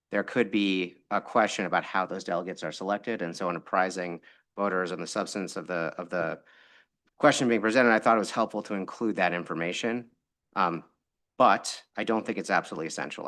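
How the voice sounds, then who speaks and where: A man from the elections staff explaining ballot wording at a public board meeting, measured and hesitant with fillers. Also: low-pitched, a bit bright. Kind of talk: formal public meeting remarks.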